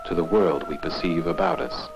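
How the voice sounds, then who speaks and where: Old educational film narrator guy, really deep, swoops around a lot, music behind. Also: wildly swooping pitch, moderate pace, gravelly, highs cut off. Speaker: documentary narrator. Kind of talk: scripted 1960s-70s educational film narration.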